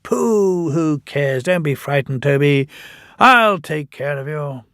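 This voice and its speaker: A man's voice: fairly high pitch, expressive, slow, fairly bright tone, clean tone, no echo, studio quality, exaggerated voice. A man voicing a fussy, impatient male tank engine who stammers when flustered, in a storybook reading.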